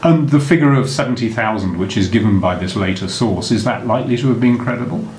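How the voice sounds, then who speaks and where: A man's voice: medium pitch, fast, a little mellow. A man interviewing a historian, asking formal, articulate questions. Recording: echoey room, faint noise.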